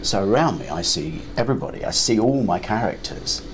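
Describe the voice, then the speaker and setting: An older man talks casually as a writer on a panel. His voice is fairly low. Noticeable ambient noise surrounds him as he tells his anecdotes. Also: big pitch swings, fairly quick. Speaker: interview guest, crime writer and filmmaker.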